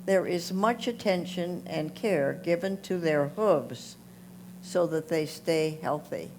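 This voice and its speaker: A woman's voice: fairly low pitch, slow pace, noisy background. A woman reads scripted photo captions aloud. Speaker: club member reading photo captions. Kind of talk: scripted narration read aloud.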